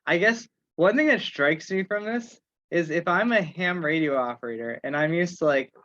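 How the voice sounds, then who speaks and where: A man with a fairly high voice talks informally in an online meeting. He thinks aloud, questioning himself as he goes. The call audio is a little dull, with no room echo. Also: moderate pace, a bit bright. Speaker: meeting participant and organizer. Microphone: online conference call.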